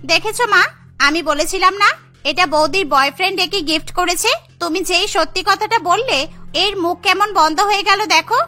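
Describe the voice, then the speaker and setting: Woman as a spiteful, shrill-high sister-in-law. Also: moderate pace, pushed and bright, soft background music. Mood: gloating. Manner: taunting. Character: spiteful, gloating sister-in-law tattling to her mother. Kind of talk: Bengali cartoon voice acting.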